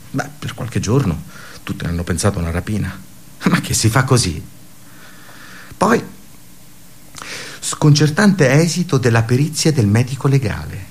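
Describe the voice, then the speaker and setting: An actor playing a brooding, darkly ironic office worker in a radio theatre monologue, halting and conversational with emphatic bursts. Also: male voice, on the low side, slight background noise. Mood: darkly sardonic.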